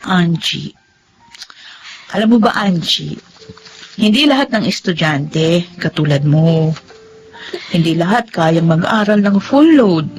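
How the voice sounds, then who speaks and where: A woman playing a spirited, outspoken young working student in a Filipino drama, brisk and frank with advice. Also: fairly low pitch, lively intonation, music in the background, low-quality recording. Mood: earnest, firm. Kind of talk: Filipino radio drama acting.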